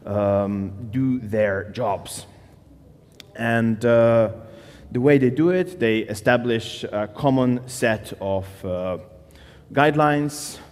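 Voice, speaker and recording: Male conference speaker from Slovenia, mid-pitched, casual and filler-heavy, long hall reverb. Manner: casual, loose, with many fillers and restarts. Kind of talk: conference talk.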